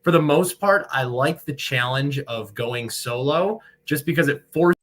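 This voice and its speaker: A man's voice: mid-range voice, unhurried, fairly bright tone, slight background noise. An American man, a sportscaster from Michigan, talking casually and at length as an interview guest. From Michigan, United States. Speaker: sportscaster, radio play-by-play broadcaster, interview guest.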